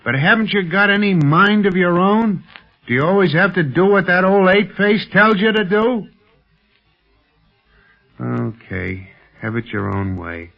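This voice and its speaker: An actor playing a brash, defiant pilot sidekick in a 1930s radio serial, slangy, sarcastic and folksy. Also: male voice, high-pitched, animated, unhurried, creaky, faint music behind, exaggerated voice. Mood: grumbling, defiant. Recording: muffled old 1930s radio recording.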